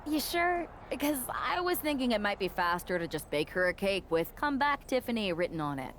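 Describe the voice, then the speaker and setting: A woman's voice: mid-range voice, sing-song, moderate pace, fairly bright tone, slight background noise. A woman playing a blunt, wry ex-MMA wrestler and ally in a video game cutscene.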